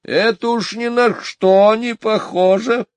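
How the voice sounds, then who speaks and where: A man's voice: high voice, fairly even intonation, takes it slowly, clean tone, no echo, pitched above the performer's own voice. A man voicing a gossipy old housekeeper who sing-songs and puffs as she talks. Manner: sing-song, puffing, gossipy. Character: chattering, sing-song old housekeeper woman.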